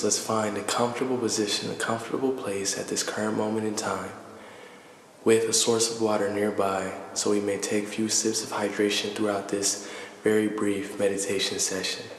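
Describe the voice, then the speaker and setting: A man leading a guided meditation in a calm, deliberate, soothing manner, pausing between measured phrases. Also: lowish voice. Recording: echoey reverberant voice with faint noise.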